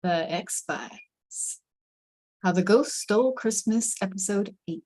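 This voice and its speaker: A woman with a medium-pitched voice takes part in an online table read as the reader of action descriptions. Her delivery is that of script narration and plain introductions, picked up over a video call. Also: takes it slowly, a little mellow, low-quality recording. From United States.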